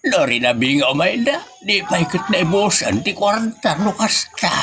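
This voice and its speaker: A man's voice: high-pitched, very animated, moderate pace, over music. A man playing a stingy, grumbling old husband with an Ilocano accent in a comedy audio drama. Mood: agitated. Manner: complaining, exclamatory.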